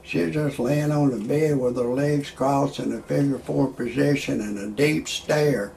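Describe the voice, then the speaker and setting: A man in his sixties talking in a rambling monologue with stand-up style jokes, halting often on fillers and restarts. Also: mid-range voice, steady tone, gravelly, a little mellow, slight background noise. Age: 60s. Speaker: amateur online show host.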